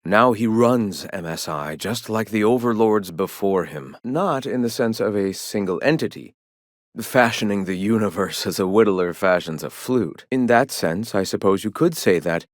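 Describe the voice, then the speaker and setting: An actor playing a zealous, scholarly leader of a philosophical rebel movement in a video game, speaking in a lofty, formal, preachy way. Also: male voice, on the low side, lively intonation, normal speed, no room sound, studio quality, lightly acted. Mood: solemn, reflective. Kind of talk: video game character dialogue.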